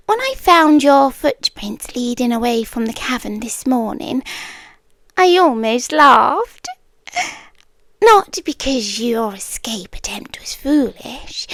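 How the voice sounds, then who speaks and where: Female actor as yandere scorpion woman, British accent, high lilting pitch, very expressive, possessive, teasing, half-whispered ASMR roleplay. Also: dry recording, heavily acted.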